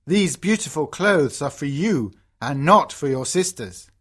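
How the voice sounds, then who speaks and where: Woman acting a husky, lively old father. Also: low voice, a little slow, voice pushed down, exaggerated voice. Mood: fond, instructive. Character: loving, excitable elderly father of a fairytale heroine. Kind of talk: fairytale storybook dialogue for English learners.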